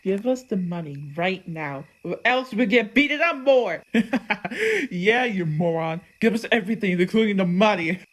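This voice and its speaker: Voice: medium pitch, animated, normal speed, natural voice. A performer playing a cocky but cowardly young street bully in an ASMR roleplay, with a childish, slangy way of talking. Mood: mocking, menacing.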